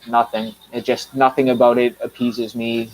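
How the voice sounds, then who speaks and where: A man in his mid-thirties talks loosely on his own live stream, wandering between thoughts with plenty of uhs and restarts. His voice sits at an ordinary middle pitch for a man. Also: flat delivery, moderate pace, low-quality recording. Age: 30s. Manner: rambling, many fillers and restarts. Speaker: channel host. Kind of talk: casual live stream chat.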